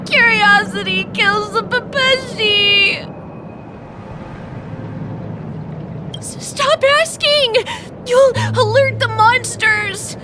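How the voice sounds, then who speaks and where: A woman's voice: extremely high pitch, drawn-out words, heavily acted. A woman voicing a small, tearful fantasy creature in an anime game, panicky and whimpering. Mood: panicked. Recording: loud game music behind.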